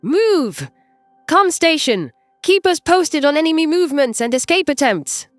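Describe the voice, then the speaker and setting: A stern woman captain of a starship as performed by a man, pitched high and very dry. She barks commands forcefully in a sci-fi audio story, over faint music. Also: sing-song, measured pace, no echo, full character voice. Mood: stern, commanding. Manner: barking orders, forceful.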